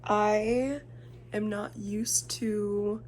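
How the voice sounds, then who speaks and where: A young woman talking casually to her camera, self-conscious and hesitant, with many fillers and pauses. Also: mid-range voice, fairly even intonation, a little mellow, a little hiss or hum. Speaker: vlogger. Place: indoor room. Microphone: camera mic.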